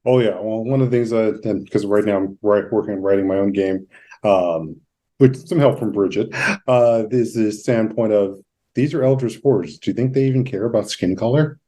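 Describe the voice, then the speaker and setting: A man's voice: lowish voice, moderate pace, warm tone. A man hosting a conversational podcast interview, thinking aloud in meandering, thoughtful phrases. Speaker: podcast host.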